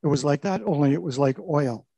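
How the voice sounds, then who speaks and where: A man's voice: a little high, a little fast, vocal fry, warm tone, slightly rough, no echo. An older man, a pastor telling a personal testimony in a reflective, storytelling way. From Canada. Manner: reflective storytelling with small restarts.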